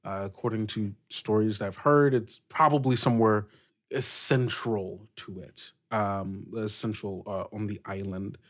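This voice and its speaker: A man playing an earnest, weary fantasy sea captain in a halting, deliberate way. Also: on the low side, steady tone, lightly acted. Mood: uncertain.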